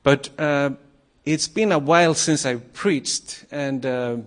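A man from Iceland, a former missionary, giving a nervous, halting sermon to a congregation with many pauses and fillers. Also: on the high side. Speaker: guest preacher.

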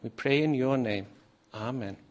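A man from Iceland, a former missionary, giving a nervous, halting sermon to a congregation with many pauses and fillers. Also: mid-range voice, a little hiss or hum. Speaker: guest preacher.

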